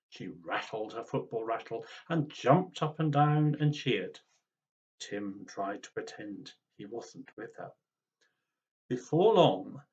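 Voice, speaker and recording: A man reading a children's storybook aloud in an expressive, dramatic way. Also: medium pitch, highs cut off. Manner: animated, dramatic.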